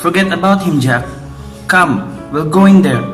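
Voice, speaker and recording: Placating musician for amateur radio drama, male actor; raised pitch, roomy echo, music underneath. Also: expressive, a little slow. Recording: echoey room, music behind.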